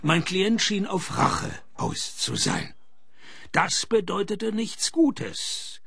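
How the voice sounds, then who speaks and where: An actor playing a wisecracking, hard-up private detective in a radio play, with dry deadpan delivery. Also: male voice, high voice, takes it slowly, gravelly, breathy edge, exaggerated voice. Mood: wry narrating. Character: wisecracking, cash-strapped male private detective. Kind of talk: radio play dialogue and first-person noir narration.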